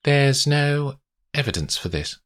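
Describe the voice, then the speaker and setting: A man voicing a calm, professional woman lawyer who speaks in a measured, precise and polite way. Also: mid-range voice, pitched above the performer's own voice. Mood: matter-of-fact.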